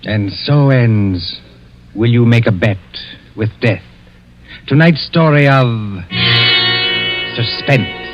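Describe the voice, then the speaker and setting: A man hosting a vintage radio mystery show with scripted, theatrical, ominous and measured delivery. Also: mid-range voice. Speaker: announcer and host. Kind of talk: 1940s radio scripted narration. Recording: dull vintage 1940s radio recording. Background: dramatic music.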